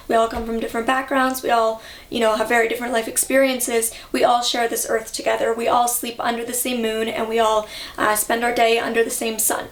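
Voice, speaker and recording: A young woman from Canada talks to camera in a relaxed, reflective way, pausing on ums and likes. Her voice sits a little high. The room around her rings with noticeable echo. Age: young adult. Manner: chatty, reflective, many fillers. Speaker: vlogger. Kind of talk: casual vlog talk.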